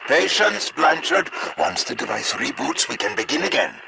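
A ghostly schemer of a scientist for a video game. His voice crackles with heavy gravel and swings widely in pitch. He is theatrical, impatient and talks down to whoever hears him. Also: sing-song, moderate pace, exaggerated voice. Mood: measured, scheming. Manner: theatrical, condescending, exclamatory. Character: eccentric male scientist, a glowing spectral schemer, impatient and theatrical.

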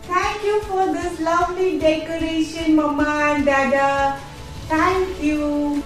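A woman acts out a sweet little boy in a children's puppet show. The voice is very high and childlike, with very slow, drawn-out words in a strongly echoing room. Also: fairly even intonation. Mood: grateful. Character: sweet young birthday boy, wistful, grateful. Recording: echoey room, distant mic, music behind.

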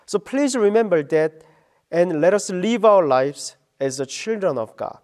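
A middle-aged man, a pastor giving an earnest, slow radio devotion sermon. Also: high voice, expressive, darkish tone.

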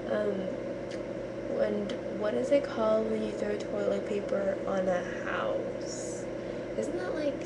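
A woman's voice: mid-range voice, slow pace, very noisy. A teenage girl from Puerto Rico vlogging casually from her bedroom on a webcam, rambling with many ums and likes. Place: bedroom, indoors. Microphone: built-in webcam mic.

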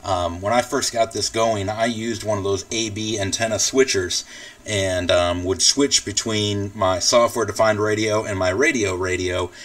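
An American man giving a casual, informal software tutorial as he explains things step by step. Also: on the low side, fairly even intonation, normal speed, no echo, distant mic. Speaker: tutorial presenter, radio hobbyist. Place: indoors at a computer.

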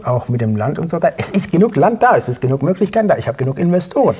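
A middle-aged man answering interview questions casually, in a plain-spoken, matter-of-fact way with a husky, gravelly voice. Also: a little high, sing-song, rapid-fire, a little mellow, slight background noise. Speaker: interview guest, sculptor and illustrator. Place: small indoor talk-show studio.

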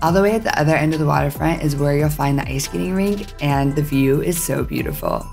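A woman's voice: sits low, fast talker, gravelly. A woman in her thirties reading scripted voice-over narration for a travel vlog. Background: faint background music.

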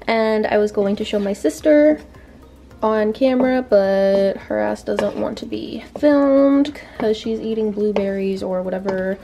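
A woman's voice: fairly high pitch, mellow. Young woman vlogger, rambling casual chat to camera at arm's length. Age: young adult. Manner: chatty, rambling, filler-heavy. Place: grocery store, car and bedroom. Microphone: handheld camera at arm's length.

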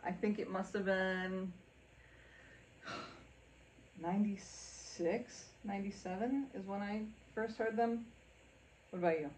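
A woman's voice: medium pitch, fairly even intonation, takes it slowly, pauses often, darkish tone, slight background noise, rough recording. A woman in her late thirties in a casual chat, teasing with dry quips. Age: 30s. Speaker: podcast co-host. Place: living room full of shelves.

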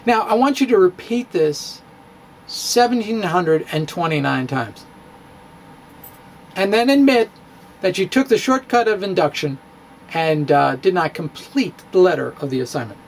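A middle-aged man giving a dramatic, comedic reading of a physics blog, halting and theatrical with emphatic pauses. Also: sits high, animated, slight background noise. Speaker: blogger, stand-up physicist. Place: indoor room with bookshelves. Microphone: handheld-style microphone on stand, close.

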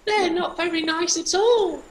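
A woman voicing an excitable, naive little boy in a puppet show, exclaiming and drawing out simple words. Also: very high voice. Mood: hungry, complaining. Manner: exclaiming, drawn-out words, simple childlike phrasing. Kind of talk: hobby puppet show acting. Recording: roomy, echoing home recording with faint noise.

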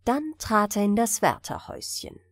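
A woman reads a fairy tale as a bedtime audiobook. Her mid-pitched voice moves in lively, expressive curves. The recording is close and dry, with very little room. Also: normal speed, no echo. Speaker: audiobook storyteller.

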